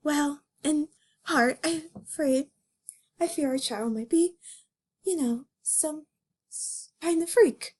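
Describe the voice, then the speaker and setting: Casting: woman as young female rabbit officer, high-voiced, slow, pleading and defiant, amateur comic melodrama. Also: a little mellow. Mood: anxious explaining. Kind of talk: amateur dramatic comic reading.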